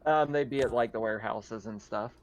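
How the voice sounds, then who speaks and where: A man's voice: fairly low pitch, fairly quick. A man acting as game master, narrating and explaining a tabletop game in a casual, informal way.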